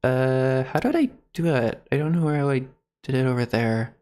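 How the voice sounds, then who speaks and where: A man chatting casually on a livestream in a halting, rambling, giggly way. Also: medium pitch, a little mellow, clean tone. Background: video game music.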